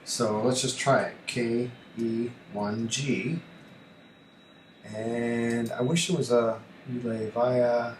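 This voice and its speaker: A man thinking aloud through a casual screen tutorial as a hobby radio host, halting and muttering to himself as he works. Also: medium pitch, fairly even intonation. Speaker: amateur radio YouTuber, host. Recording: echoey room with faint background noise.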